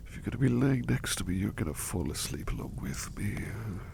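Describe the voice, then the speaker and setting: A man's voice: fairly low pitch, sing-song, a little fast, vocal fry, slight background noise, full character voice. A man playing a sleepy, ailing butler. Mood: tender, drowsy. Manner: soft, whispery, affectionate, repeats phrases. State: sick and sleepy. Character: devoted, gentle male butler, ill in bed. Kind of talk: ASMR roleplay.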